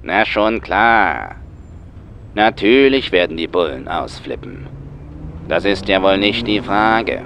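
A man's voice: sits low, big pitch swings, takes it slowly, fairly bright tone, slight background noise, pitched below the performer's own voice, exaggerated voice. A man voicing an unflappable, drawling crime boss in a satirical audio play. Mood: unruffled. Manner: drawling, unruffled. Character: slow, unflappable male crime boss on the phone.

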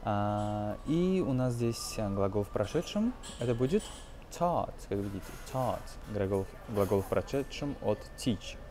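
A man in his twenties giving an informal language lesson as a teacher, explaining phrases in a halting, hesitant way. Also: on the low side, animated, dark tone, a little hiss or hum. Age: 20s. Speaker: English teacher.

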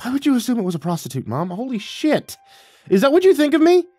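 A man's voice: mid-range voice, lively intonation, fairly quick, a little mellow, no echo, faint music behind, lightly acted. A man playing an awkward adult son in a story, exasperated, evasive and defensive. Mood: indignant. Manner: defensive, stammering when pressed.

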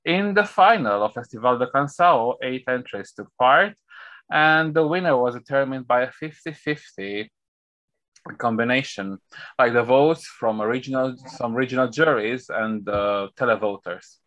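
A man's voice: mid-range voice, normal speed, a bit bright, no room sound. A man in his thirties hosting a panel review over a video call, reading out the running order and stumbling on foreign names. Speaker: host. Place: home room with shelves. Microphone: webcam on video call.

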